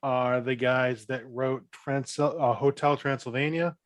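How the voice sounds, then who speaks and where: A man's voice: mid-range voice, steady tone, unhurried, dry recording. A man speaking as a podcast panelist in casual group chat, hesitant and often rewording his phrases.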